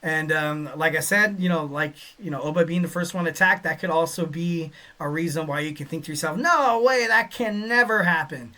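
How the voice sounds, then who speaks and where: A young man hosting a casual solo podcast, rambling with many fillers and breaking into excited bursts. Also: on the high side, moderate pace, hardly any pauses. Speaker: podcast host. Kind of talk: casual solo podcast talk reading listener chat.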